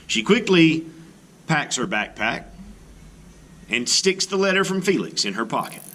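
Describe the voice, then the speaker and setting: A man narrating a children's storybook in an expressive read-aloud style, as a teacher would. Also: a little high, measured pace. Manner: expressive, animated delivery. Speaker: art teacher reading a children's book aloud.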